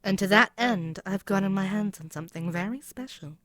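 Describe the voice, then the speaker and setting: A woman's voice: mid-range voice, brisk, a bit bright, no echo, clean studio sound, natural voice. A woman playing a haughty, scheming demon queen in an audio roleplay, taunting and menacing in a theatrical way. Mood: commanding, menacing. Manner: haughty, taunting, theatrical, dropping at times to near-whisper. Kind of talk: scripted ASMR audio roleplay, dramatic monologue.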